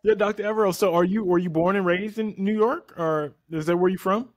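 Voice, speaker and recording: A man's voice: sits high, fairly quick. An American man in his thirties speaking informally and conversationally as he hosts a casual interview. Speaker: interview host, vegan chef and influencer. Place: indoor room, remote video call. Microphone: desktop condenser microphone up close.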